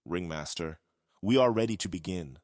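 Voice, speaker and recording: A man's voice: low-pitched, animated, normal speed, no room sound, studio quality. A man playing an earnest, solemn officiant chanting a sacred rite in an audio drama, over music. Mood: ceremonial. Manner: formal, incantatory.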